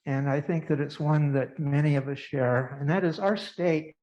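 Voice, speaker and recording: A man's voice: a little high, fairly even intonation, normal speed, a little mellow, dull audio. A congregant man sharing a serious concern in a church service, speaking earnestly and with emphasis. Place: church sanctuary.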